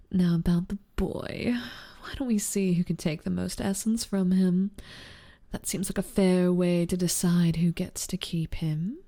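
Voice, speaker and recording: A young woman playing a sly, teasing rival succubus in an ASMR audio roleplay, with a breathy, drawling, taunting delivery. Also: lowish voice, fairly even intonation, unhurried, warm tone. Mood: amused. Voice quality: breathy, half-whispered in places.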